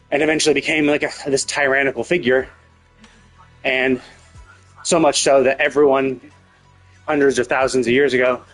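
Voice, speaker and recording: A man livestreaming a game, thinking aloud in a casual, rambling, hesitant way with some swearing. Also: mid-range voice. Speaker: live game streamer.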